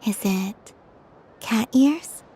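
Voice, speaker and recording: A woman voicing a serene, dreamy young maiden in a video game, calm and innocent with gentle teasing. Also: on the high side, very slow, slightly rough, heavily acted. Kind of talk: video game character voice acting.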